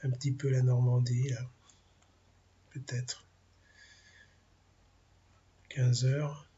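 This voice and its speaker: A man with a cold and a French accent giving an informal weather briefing, halting and full of fillers as he talks through maps. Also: mid-range voice, flat delivery, dark tone, lo-fi sound, slight background noise. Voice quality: gravelly, husky. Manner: halting, many fillers, thinking aloud over weather maps. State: has a cold, clears throat. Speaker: amateur weather forecaster.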